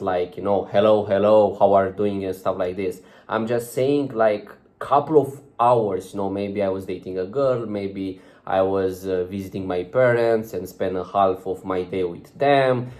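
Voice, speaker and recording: A man's voice: on the low side, a little mellow. A young man speaking English as a second language, giving casual advice to the camera in a loose, chatty way, full of fillers and restarts. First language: not English. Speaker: vlogger giving social advice.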